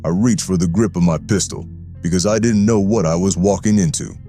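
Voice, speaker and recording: An actor playing a stern, controlled chief, narrating an audio drama in a deliberate, hard-boiled manner. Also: male voice, sits low, mellow, faint music behind. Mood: wary, controlled. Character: stern, armed male chief, controlled and unflinching. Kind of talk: first-person audio drama narration and dialogue.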